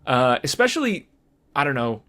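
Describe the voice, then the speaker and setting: A man's voice: medium pitch, very animated, normal speed, pushed and bright, clear, resonant tone. A man with a mid-range voice co-hosts a casual podcast about films. He feels his way through sentences, hesitant and meandering. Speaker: podcast co-host. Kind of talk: casual film discussion chat.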